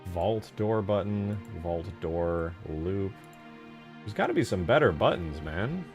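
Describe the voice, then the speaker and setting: A man's voice: sits low, expressive, slow pace, a little mellow, faint music behind. A man giving casual, informal commentary while modding a game, muttering and thinking aloud to himself. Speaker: video game modder.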